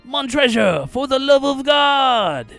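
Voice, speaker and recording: A man reading a dramatic story, voicing a merry, drunken, doomed nobleman with drawn-out theatrical cries. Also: high-pitched, animated, gravelly, sharp tone, no echo, faint music behind, voice pushed up, exaggerated voice. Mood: desperate pleading.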